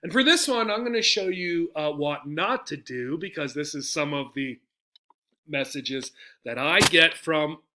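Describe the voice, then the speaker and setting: A man teaches an English lesson in a bright, clear-cut voice that sits slightly high. He talks conversationally, breaking points into small steps and often checking that listeners follow. He also speaks out model phrases as examples. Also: unhurried. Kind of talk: explanatory lesson with example phone messages.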